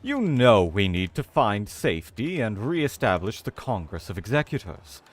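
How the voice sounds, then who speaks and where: A man's voice: on the low side, big pitch swings, moderate pace. Man putting on a haughty aristocratic woman commander. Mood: dismissive, lofty. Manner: imperious, dismissive. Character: haughty, commanding aristocratic female executor of a fallen empire.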